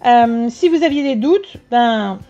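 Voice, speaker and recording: A woman medium giving a casual reading to her viewers, blunt and slangy, with quick asides. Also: a little high, lively intonation, warm tone. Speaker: tarot and psychic medium. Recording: dull lo-fi sound with faint music.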